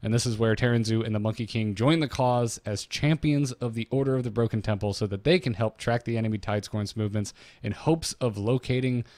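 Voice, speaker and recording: Guy co-hosting a game lore podcast, normal pitch, very dry sound, recaps stuff with little jokes. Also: steady tone, a little fast, few pauses, a little mellow, dry recording. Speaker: podcast co-host. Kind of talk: notes-based game lore recap with casual banter.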